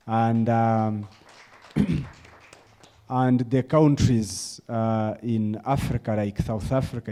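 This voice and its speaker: A man speaking as a conference panelist, slow and in a storytelling way. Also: medium pitch, mellow. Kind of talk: panel discussion remarks.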